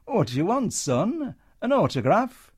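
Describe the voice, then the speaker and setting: Male reader voicing a bullying showman, high and loud, hectoring. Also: very animated, moderate pace. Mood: wheedling. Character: blustering London comedian, troupe leader, bullying then wheedling.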